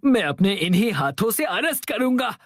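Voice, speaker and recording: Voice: medium pitch, sing-song, normal speed, no echo, clean studio sound. An actor in a Hindi cartoon dub playing an overzealous detective who declaims with great melodrama. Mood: determined.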